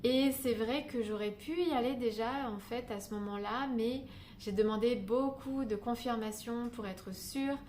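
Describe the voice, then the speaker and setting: A woman with a slightly high voice muses aloud for her vlog, pausing on frequent "euh" fillers and circling back on her words. She records indoors, and a faint stormy ambience sits behind her speech. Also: a little mellow, slight background noise. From Paris, France. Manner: hesitant, many "euh" fillers and restarts. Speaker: spiritual guidance vlogger. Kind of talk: unscripted reflective monologue to camera. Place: indoors in Paris during a thunderstorm.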